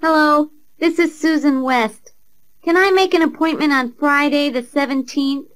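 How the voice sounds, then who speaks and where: High-voiced woman in a lesson dialogue. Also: normal speed, a bit bright. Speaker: patient in dialogue. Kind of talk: scripted learner dialogue.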